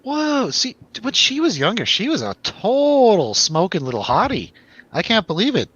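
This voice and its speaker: A man's voice: high-pitched, huge pitch swings, normal speed, fairly bright tone, husky, dry recording, muffled recording. A man in casual, rambling conversation about films, telling stories animatedly and swearing freely. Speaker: film review show host. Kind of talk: casual chat, film review over drinks.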